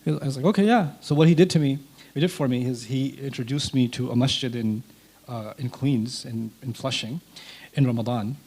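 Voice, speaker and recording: A man whose first language is Urdu, a guest in an interview, telling a personal story in an animated way and acting out quoted dialogue. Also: medium pitch, brisk, breathy edge.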